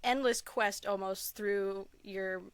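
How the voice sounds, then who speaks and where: A woman speaks as an enthusiastic interview guest in casual, personal storytelling. Her voice is crisp and sits at a medium pitch. The sound is close and dry, and she tends to giggle as she talks. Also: fairly even intonation, slow pace, no room sound. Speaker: podcast guest.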